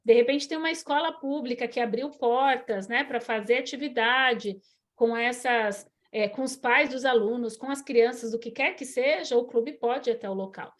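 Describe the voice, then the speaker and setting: A woman's voice: on the high side, moderate pace. A woman with a Brazilian Portuguese accent hosting an online church health class, talking in an encouraging, preacherly way. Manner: warm encouraging preacherly, addresses listeners as queridos. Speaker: host.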